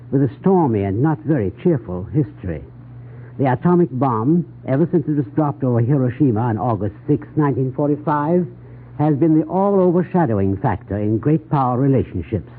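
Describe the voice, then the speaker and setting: A man's voice: medium pitch, expressive, a little fast, a little hiss or hum. A man giving a scripted 1940s radio news analysis in a formal, grave, oratorical manner. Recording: tinny, band-limited 1940s radio recording.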